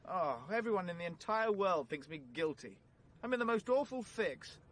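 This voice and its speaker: An actor playing an anxious, well-bred British gentleman on the run in a vintage radio drama, plummy, earnest and flustered. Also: sits high, sing-song, moderate pace. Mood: pleading. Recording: noisy vintage radio play recording.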